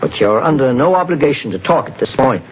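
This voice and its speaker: An actor playing a calm, authoritative private investigator in a 1940s radio drama. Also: male voice, medium pitch, natural voice. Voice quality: measured, authoritative. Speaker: detective lead. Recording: dull lo-fi old radio recording, faint hiss.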